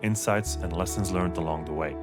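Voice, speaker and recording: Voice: sits low, a little fast, music in the background. A podcast host introducing the show and asking a guest friendly questions. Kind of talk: interview hosting.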